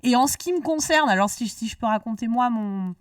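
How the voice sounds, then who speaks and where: A woman's voice: a little high, animated, brisk, dry recording, studio quality, distant mic. A woman hosting a casual discussion, talkative and rambling, often restarting her sentences. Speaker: podcast host.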